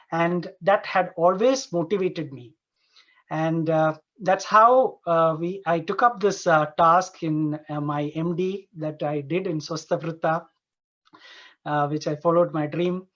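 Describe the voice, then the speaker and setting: A man from Dubai giving an informal conference presentation as an Ayurveda doctor, earnest and halting, often repeating and rephrasing his phrases. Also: fairly high pitch. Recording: dull, lo-fi online conference audio.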